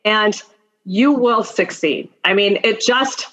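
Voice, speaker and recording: A woman's voice: mid-range voice, slow, fairly bright tone, muffled recording. A middle-aged woman giving career advice and telling personal stories as a guest in a conversation, emphatic and encouraging, speaking straight to the listener. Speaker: podcast guest, attorney and mentor.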